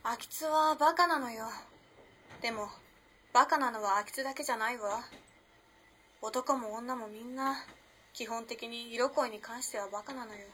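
Woman acting high, bright, teasing modern girl. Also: moderate pace, long pauses, natural voice. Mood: knowing. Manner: teasing, composed, old-fashioned feminine speech. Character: teasing, mysterious 1910s modern girl, self-possessed young woman. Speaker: actor in amateur radio drama. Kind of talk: Japanese radio drama acting, storytelling. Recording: noisy background ambience.

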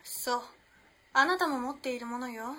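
A woman's voice: high-pitched, normal speed, a bit bright. An actress playing a teasing, self-possessed, mysterious modern girl of the 1910s in a radio drama, using old-fashioned feminine speech. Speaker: actor in amateur radio drama. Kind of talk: Japanese radio drama acting, storytelling. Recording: noisy background ambience.

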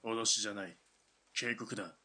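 A man's voice: fairly low pitch, fairly even intonation, moderate pace, pushed and bright, husky, heavily acted. A man playing a stern Japanese army lieutenant colonel in a radio drama, curt and commanding. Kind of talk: hobby radio drama acting.

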